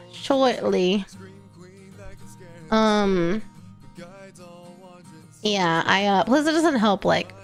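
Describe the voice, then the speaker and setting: Congested, gravelly woman streamer chatting. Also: mid-range voice. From Texas. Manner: rambling, self-correcting, chatty. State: congested, nasal. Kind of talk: casual chat with live chat.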